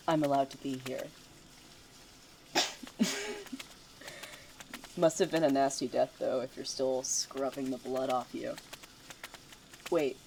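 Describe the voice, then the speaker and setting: Smug, needling student seer for audio drama, played by a woman; low register, theatrical, cryptic. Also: gravelly, noisy background, heavily acted. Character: taunting, smug, cryptic student who claims visions.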